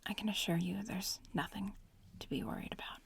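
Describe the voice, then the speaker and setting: A woman playing a bratty young witch in training in a soft-spoken ASMR roleplay, casually bossy and teasing but reassuring. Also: mid-range voice, a little fast, creaky, close to an everyday voice. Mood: whispered, reassuring. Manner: casual, teasing, matter-of-fact instructions. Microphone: close-up ASMR microphone.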